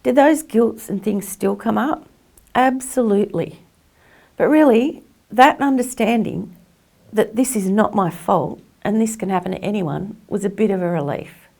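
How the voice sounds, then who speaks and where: A woman's voice: medium pitch, measured pace, creaky, a little mellow. A woman giving reflective personal testimony as a carer, speaking earnestly and with emphasis. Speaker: carer sharing personal experience.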